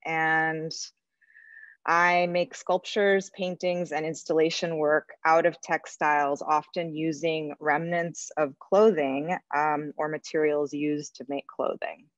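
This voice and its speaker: Low-pitched woman lecturing over a video call, kinda dull lo-fi sound, dry, lots of ums. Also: fairly even intonation, takes it slowly, no echo. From Russia. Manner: thoughtful, chatty, with many ums. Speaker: guest artist lecturer. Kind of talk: online lecture presentation.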